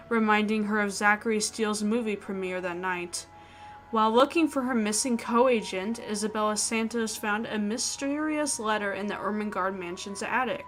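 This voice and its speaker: A woman's voice: a little high, fairly bright tone, slight background noise, poor audio. A woman hosting a gaming video, reading out a visual novel's narration with casual asides.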